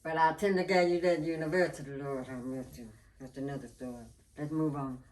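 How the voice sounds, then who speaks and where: A woman's voice: low-pitched, steady tone, fairly quick. A woman telling family stories in a blunt, teasing way during a casual chat. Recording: distant mic with faint noise.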